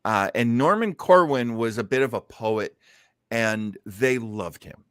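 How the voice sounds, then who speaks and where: A man's voice: medium pitch, animated, a little slow, clean tone, no room sound, clean studio sound. A man hosting a show in a casual, unscripted way, shifting between theatrical announcing and rambling chat. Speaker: show host.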